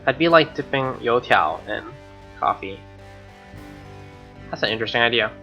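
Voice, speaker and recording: Bright-voiced young man streaming, dull mic. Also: medium pitch, animated, moderate pace. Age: young adult. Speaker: game streamer. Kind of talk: reading a visual novel aloud with casual reactions. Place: small room indoors. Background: game music.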